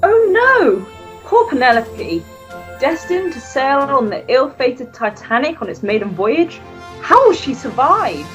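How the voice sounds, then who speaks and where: A melodramatic radio serial narrator acted by a woman. Her high voice swings about with theatrical alarm over faint music. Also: sing-song, a little slow, fairly bright tone, heavily acted, low-quality recording. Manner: overdramatic rhetorical questions.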